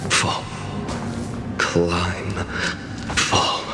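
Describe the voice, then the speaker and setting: A man voicing a brooding, burdened warrior in a video game, sorrowful and quietly wrathful, with a solemn, weighty delivery. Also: very slow, big-room sound, over loud music. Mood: melancholic, reflective. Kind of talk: dramatic game voice acting, narration.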